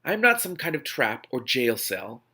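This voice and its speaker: A man's voice: mid-range voice, big pitch swings, moderate pace, bright, forceful tone, breathy edge, exaggerated voice. A man voicing a blunt, easily flustered young heroine in a novel reading, informal and hesitant. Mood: angry.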